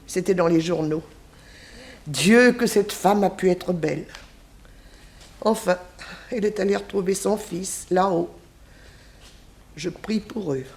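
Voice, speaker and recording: Kindly old nanny, wistfully reminiscing. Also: female voice, on the low side, slow, pauses often, a little mellow. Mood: sad, pious. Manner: homely, reminiscing, sighing exclamations. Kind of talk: 1960s French radio detective drama acting.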